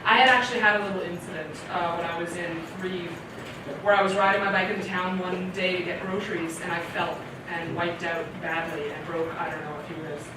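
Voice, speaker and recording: A woman from Canada giving a casual question-and-answer talk to a library audience. She is chatty and animated, laughs often and acts out her own reactions. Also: on the low side, brisk. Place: library meeting room with audience. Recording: echoey hall audio from far off with loud room noise.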